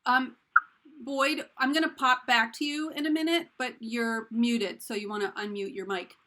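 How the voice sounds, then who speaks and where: Bright-voiced woman hosting a video call. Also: fairly high pitch, fairly even intonation. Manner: brisk, laughs easily between names. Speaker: call organizer. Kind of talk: running an online group call.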